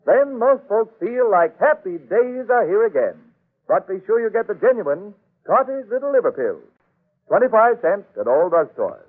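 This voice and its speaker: A man delivering an emphatic, scripted sales pitch in an old-time radio commercial. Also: big pitch swings, moderate pace. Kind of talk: vintage radio ad copy, scripted. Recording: tinny, narrow old radio recording.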